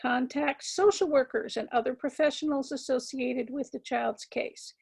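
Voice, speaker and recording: Lady lawyer teaching a class, high voice, husky and a bit scratchy, lots of ums, leans on words for stress. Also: fairly even intonation, a little mellow. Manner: measured, emphatic, with frequent ums and repeated words for stress. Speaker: legal trainer lecturing attorneys. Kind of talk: continuing-education lecture.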